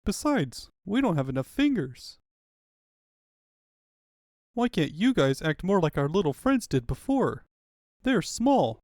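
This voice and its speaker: A man's voice: high-pitched, very animated, takes it slowly, pauses often, a little mellow, dry recording, studio quality, full character voice. A man voicing an earnest young heroine princess in a comic fandub, urgent and sensible. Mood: scolding.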